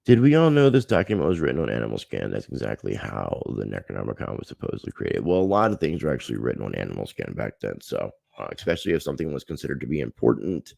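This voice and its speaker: A man's voice: a bass voice, very animated, fast talker, gravelly, few pauses, a little mellow, dry recording. A man hosting a livestream, chatting casually and earnestly to his viewers. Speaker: livestream host.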